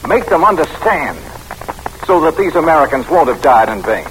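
A battle-worn captain, humble yet impassioned, lively intonation, vintage radio drama with light hiss. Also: male voice, a little high. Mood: urgent pleading. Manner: earnest, halting, emphatic. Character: earnest, battle-scarred army captain, respectful and fervent. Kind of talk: 1940s radio drama acting. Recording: faintly noisy old radio broadcast.

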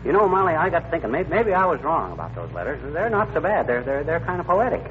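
A man acting an excitable, blustering, folksy husband in a 1940s radio comedy. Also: on the high side, quick pace. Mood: flustered regret. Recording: noisy, tinny old radio recording.

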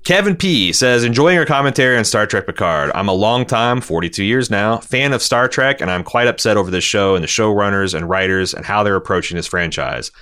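Podcast host, male, lowish forceful voice, animated and rambling fan talk. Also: a little fast, few pauses. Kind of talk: casual fan commentary on TV, reading listener feedback.

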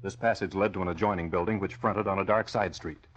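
Dramatic low-voiced vintage radio announcer man. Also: steady tone, fast talker. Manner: dramatic, declamatory. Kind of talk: 1940s radio scripted narration. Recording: muffled old radio recording.

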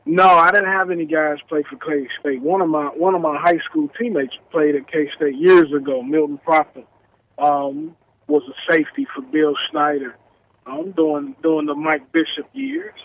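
A man's voice: a little high, normal speed. A man calling in as a guest football analyst, easygoing, with hesitant fillers. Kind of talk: phone-in radio interview. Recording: tinny phone line.

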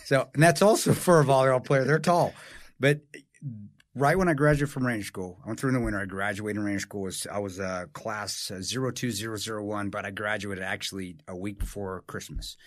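A man's voice: lowish voice, fairly even intonation, a little fast, no echo, clean studio sound. A man, telling stories animatedly in casual podcast chat, swearing and mocking himself. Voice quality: nasal. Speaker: podcast co-host.

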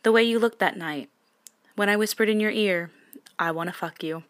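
A woman voicing a teasing, dominant young man in soft, low whispers while reading a romance story aloud. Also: moderate pace, no echo, studio quality, lightly acted.

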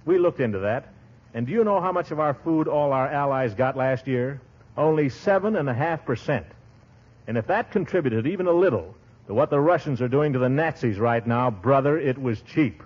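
An actor playing a pompous but bashful middle-aged small-town bigwig in 1940s radio comedy, with a husky voice. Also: male voice, mid-range voice, animated, moderate pace. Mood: earnest, folksy. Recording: dull vintage 1940s radio recording.

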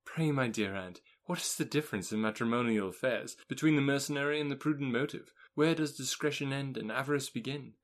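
A man voicing a witty, spirited young woman who talks with brisk irony and bursts into rapture in a storybook reading. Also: mid-range voice, close to an everyday voice. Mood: arch. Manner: brisk and ironic, turning rapturous.

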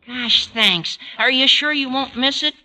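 An actor playing an eager, gawky teenage schoolboy with a squeaky voice and earnest manner in a 1940s radio sitcom. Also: male voice, a little slow, sharp tone, heavily acted. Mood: grateful, flustered. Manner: earnest gosh-and-gee fibbing. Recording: noisy old radio broadcast, car sound.

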